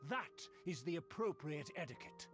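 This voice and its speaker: A haughty aristocrat from a video game, played by a man, pitched a little high. He speaks with grand, sweeping intonation and open disdain, as if everyone were beneath him. Soft music plays behind the voice. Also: lively intonation, moderate pace, natural voice. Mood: haughty, scornful. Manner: pompous, condescending, theatrically disdainful. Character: haughty, pompous male aristocrat of a fallen noble clan. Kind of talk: theatrical video game voice acting. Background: background music.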